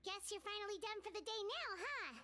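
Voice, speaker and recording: A woman's voice: extremely high pitch, expressive, a little fast, pushed and bright, exaggerated voice. A woman voicing a small, chirpy, childlike fairy companion in a video game, with a perky manner. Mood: curious. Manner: speaks of self in third person, perky. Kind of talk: video game character acting.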